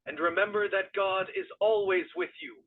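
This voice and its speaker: A synthetic man plays a reverent prophet telling his story with solemn formality. The voice sits high for a male and has a husky grain. It comes through narrow and tinny, like a phone speaker. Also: little pitch movement. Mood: earnest counsel. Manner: solemn, formal, measured phrasing. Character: Moses, reverent elder prophet recalling his people's deliverance. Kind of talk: dramatic first-person AI chatbot storytelling.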